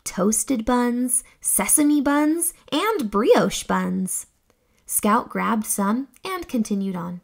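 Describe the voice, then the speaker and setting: A young woman reads a children's story with a high, lively voice. Her phrasing is slow and deliberate, with expressive emphasis as she narrates for young listeners. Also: vocal fry. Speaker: children's read-aloud host. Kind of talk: animated scripted children's storybook reading.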